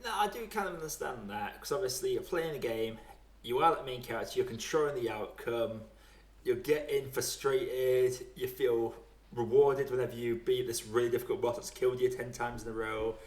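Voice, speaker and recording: Male podcast host, casual rambling chat, wry, mid-pitched, roomy echo. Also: normal speed, darkish tone, recorded from a distance. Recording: roomy, echoey home recording.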